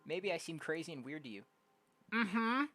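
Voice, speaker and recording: Young let's player, casual and jokey, lively pitch, reads game text aloud over faint music. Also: moderate pace. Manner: animated, jokey, exclaims loudly. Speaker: streamer playing and reading aloud a game. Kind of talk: casual let's play, reading dialogue aloud.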